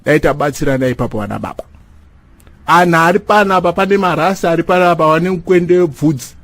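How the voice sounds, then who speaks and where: Cartoon church elder, played by a man: exhorting and repetitive, slightly high male voice, dry close recording. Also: quick pace, fairly bright tone, dry recording. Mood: admonishing. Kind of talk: animated comedy cartoon dialogue in Shona.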